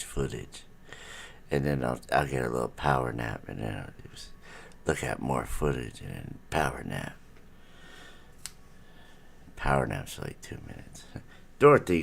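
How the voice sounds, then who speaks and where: A man's voice: rumbling low, gravelly. A middle-aged man hosting a casual live stream from home, rambling as he chats with viewers, his nose stuffy. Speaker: livestream host.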